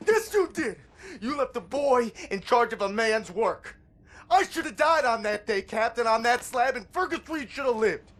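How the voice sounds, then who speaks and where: An actor playing a guilt-ridden young American resistance soldier in a video game, earnest and bitter, with folksy mild oaths and halting delivery. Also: male voice, very high voice, expressive, fairly bright tone. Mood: furious, accusing. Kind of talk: dramatic video game acting.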